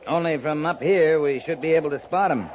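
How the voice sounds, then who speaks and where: An actor playing a dry, calm, commanding cavalry captain in a 1950s radio western, terse and understated. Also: male voice, fairly high pitch, brisk, close to an everyday voice. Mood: brisk, commanding. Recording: muffled, hissy 1950s radio recording.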